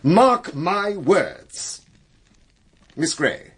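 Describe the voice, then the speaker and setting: Condescending English village clergyman, period audio drama: declamatory, hugely swooping delivery, husky mid-range male voice. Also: mid-range voice, huge pitch swings, moderate pace, heavily acted. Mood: admonishing. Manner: pompous, preachy, declamatory. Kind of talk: period radio drama acting.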